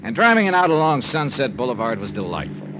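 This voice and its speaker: A man playing a brisk, wry insurance investigator in 1950s radio detective style, with crisp, punchy delivery. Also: medium pitch, big pitch swings, noisy background, lightly acted. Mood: brisk narrating. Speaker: radio drama lead and narrator. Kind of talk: 1950s radio detective acting, first-person narration. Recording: old radio recording with music.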